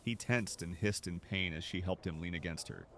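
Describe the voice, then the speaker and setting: A man narrating a scripted story for an audio drama in an expressive, dramatic way. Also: deep voice, moderate pace, a little hiss or hum. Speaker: narrator and voice actor.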